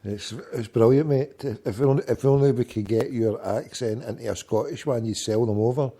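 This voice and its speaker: Blunt man with a mellow, dark voice. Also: medium pitch, moderate pace, a little hiss or hum. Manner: blunt, crude banter. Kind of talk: casual chat.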